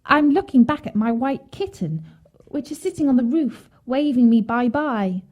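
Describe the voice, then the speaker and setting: A woman voicing a young boy, a gentle, reassuring brother in a bedtime fairy tale. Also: sits high, animated, normal speed, voice pushed up. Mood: innocent.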